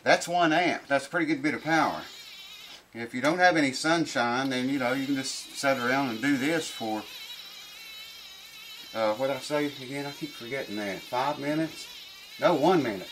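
A middle-aged man giving a casual hands-on review to camera, informal and unhurried, thinking aloud as he goes. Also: medium pitch, background noise. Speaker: product reviewer. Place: small cluttered indoor workshop room.

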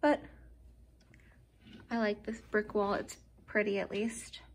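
A woman's voice: mid-range voice, flat delivery, takes it slowly, creaky. A woman in her thirties chatting cheerfully as she films her road trip on a phone held at arm's length, describing the sights. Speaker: travel vlogger. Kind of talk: casual travel vlog narration. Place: in a car, a hotel room and outdoors in snow. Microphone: phone camera held at arm's length. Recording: road and wind noise behind a phone recording. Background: car and outdoor ambience.

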